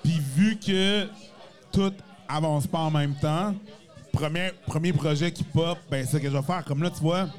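Laid-back Québécois man, slightly high voice. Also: measured pace, faint music behind. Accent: Quebec French. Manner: laid-back, frequent filler "tu sais". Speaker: comedian guest. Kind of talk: casual podcast chat.

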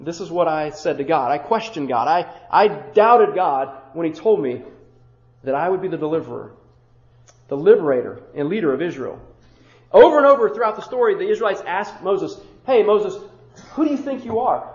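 A man's voice: a little high, lively intonation, moderate pace, darkish tone. A man preaching a sermon as pastor, building rhythmic rhetorical lists with casual conversational asides. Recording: muffled roomy church recording.

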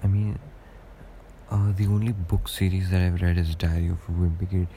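A young man with a deep voice, rambling through a casual solo podcast monologue with lots of hesitations. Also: completely flat delivery, moderate pace, warm tone, slight background noise. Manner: rambling and hesitant, many fillers and restarts. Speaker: podcast host.

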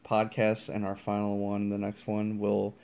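A man's voice: fairly low pitch, monotone, a little slow, creaky, a little mellow. A man co-hosting a casual podcast with his girlfriend, teasing and joking. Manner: teasing, jokey, laughs a lot. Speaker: podcast co-host. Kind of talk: casual couple chat.

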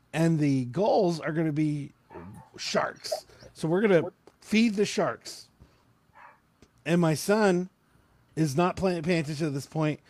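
A man's voice: a little high, a little mellow, no echo. A man with a scratchy voice hosting a casual live-stream chat, talking with lots of fillers and laughs. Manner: chatty, laughs often, many fillers. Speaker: podcast host.

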